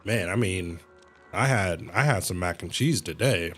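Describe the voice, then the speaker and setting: A man's voice: low voice, lively intonation, a little slow, a bit bright. A man livestreaming a game, casually reading dialogue aloud and reacting in slang, sometimes stumbling and correcting himself. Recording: game music behind the voice. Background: video game music.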